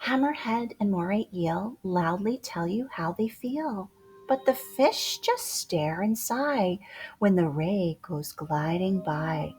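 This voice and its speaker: A woman reading a rhyming children's picture book aloud in a playful, expressive way, drawing out words for dramatic emphasis. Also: mid-range voice, slow. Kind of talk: animated rhyming storybook read-aloud for young children. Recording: music behind the voice. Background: music.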